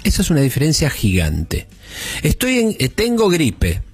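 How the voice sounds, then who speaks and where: Middle-aged Argentine doctor, slightly husky, calm and didactic, low-key music bed. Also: male voice, normal speed, no echo. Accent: Argentine Spanish. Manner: calm, didactic, explains at length. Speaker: doctor guest. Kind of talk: explanatory radio chat.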